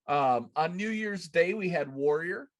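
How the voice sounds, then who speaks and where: A man's voice: a little high, lively intonation, a little slow. A man in his thirties hosting a podcast, chatting casually on a live show from a room at home. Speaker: podcast host. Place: small room at home, indoors.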